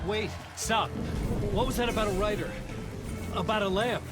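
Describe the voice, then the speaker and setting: An actor playing a confused, anxious writer in a game drama, speaking urgently and haltingly as he searches for answers. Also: sits high, over loud music, voice pushed up, close to an everyday voice. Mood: puzzled, urgent.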